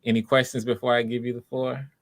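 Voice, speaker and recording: A man's voice: mid-range voice, little pitch movement, quick pace. A man hosting live auditions in a casual, encouraging way, often repeating his phrases.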